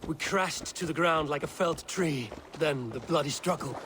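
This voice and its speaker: An actor playing a level-headed, wary Viking warrior in a video game, speaking in a measured, sober way. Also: male voice, fairly high pitch, background noise, close to an everyday voice. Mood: reminiscing.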